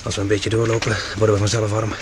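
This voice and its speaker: An actor playing a grumbling, wry companion on a stealthy mission in a science fiction radio drama, talking informally. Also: male voice, fairly low pitch, monotone, quick pace, very noisy, close to an everyday voice. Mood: chilly, game.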